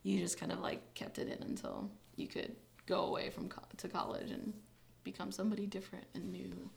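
A woman's voice: fairly low pitch, little pitch movement, a little fast, creaky. Young woman, casual interview guest, chatty, filler-heavy, laughs easily. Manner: chatty, many fillers, laughs when uncomfortable.

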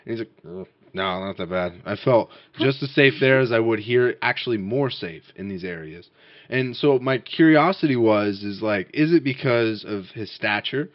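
A young man with a fairly low voice talks casually, halting and restarting often with fillers. The recording is dry and muffled, with little high end and no room sound. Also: lively intonation, dry recording. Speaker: interviewer. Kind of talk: casual conversation.

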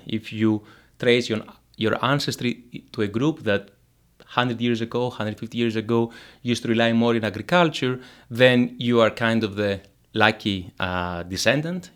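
A man's voice: lowish voice, normal speed. A man from Greece, explaining his economics research in an interview, full of fillers and restarts. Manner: hesitant, many fillers and restarts. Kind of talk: academic interview.

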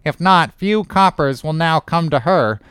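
A male reader plays a wistful ship's mate from a storybook. He sounds plain-spoken and resigned, a little high in pitch, with a close, dry sound. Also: animated, measured pace, a bit bright, clean tone, no echo. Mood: rueful. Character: wistful, resigned third mate of whaling ship. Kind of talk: dramatic audiobook character acting.

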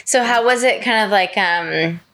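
A woman's voice: medium pitch, unhurried, fairly bright tone. A woman interviewing a guest in a casual conversation, asking follow-up questions and giving short replies. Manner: attentive, gives short backchannel replies, asks follow-up questions.

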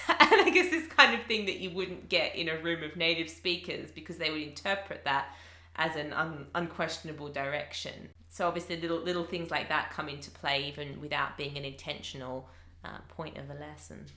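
A woman's voice: low-pitched, normal speed, sharp tone, echoey room. A woman who teaches English, talking through her thoughts with many fillers and restarts in a casual conversation.

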